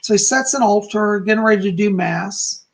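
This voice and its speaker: Hoarse, calm, gravelly-voiced man. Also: high-pitched, normal speed. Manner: calm, soft-spoken. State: hoarse, losing his voice. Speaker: psychic teaching about folk magic. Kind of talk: livestream teaching, reading aloud from a book and chat comments.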